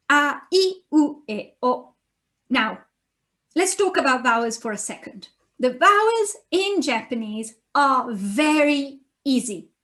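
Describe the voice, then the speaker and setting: A woman with a clear Italian accent teaches a beginner class over a webcam from home. Her voice sits high, and she takes her time, spelling out each sound patiently so that learners can repeat after her. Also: a bit bright. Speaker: university Japanese lecturer. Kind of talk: recorded online lesson.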